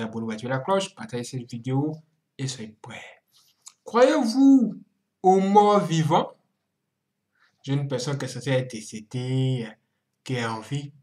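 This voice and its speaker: A man's voice: mid-range voice, a little mellow. Man giving dramatic, exclamatory reaction commentary. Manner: dramatic, exclamatory, repeats phrases for emphasis. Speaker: YouTube host.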